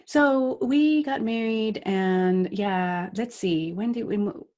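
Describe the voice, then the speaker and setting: A woman's voice: mid-range voice, slow, a little mellow. A woman, a life coach talking earnestly and reflectively as a podcast guest. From Puerto Rico. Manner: earnest, reflective, with frequent restarts and laughs. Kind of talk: podcast interview.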